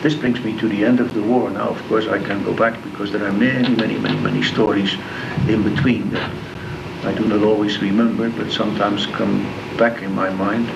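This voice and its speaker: A man in his seventies from the Netherlands with a Dutch accent, speaking to a school class about his memories in a plain, measured storytelling manner. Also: lowish voice, little pitch movement. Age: 70s. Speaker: guest speaker. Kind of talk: recounting wartime memories to students. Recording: distant, dull lo-fi tape with clear noise.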